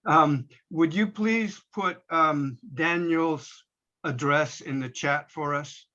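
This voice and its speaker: Slow, bright-voiced man on dull call audio. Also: fairly high pitch. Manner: polite, deliberate. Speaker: meeting participant asking questions. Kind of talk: courteous remarks at an online meeting.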